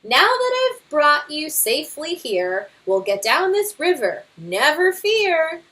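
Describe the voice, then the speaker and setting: A woman's voice: high-pitched, big pitch swings, slow pace, sharp tone, pitched above the performer's own voice, exaggerated voice. A woman voicing a boastful, stubborn father bear in a children's storybook, with a pompous, emphatic, singsong delivery. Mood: confident, boastful. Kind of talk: children's picture book read-aloud.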